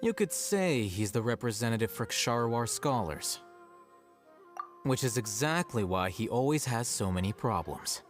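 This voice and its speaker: An actor playing an aloof young male scholar in a video game, measured, dry and dismissive. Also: mid-range voice, animated, natural voice. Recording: soft game music behind.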